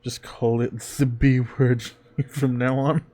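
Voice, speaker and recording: A man's voice: mid-range voice, flat delivery, slow pace, dark tone. A man streamer reading a visual novel aloud, breaking off for jokey, mock-disgusted casual asides. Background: music.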